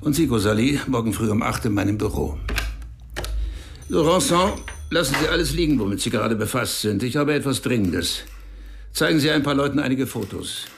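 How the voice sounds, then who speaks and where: A man's voice: mid-range voice, animated, brisk, creaky, faint music behind. An actor playing a cold, calculating male police intelligence chief who questions people in a cool, probing manner in a radio drama. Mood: commanding.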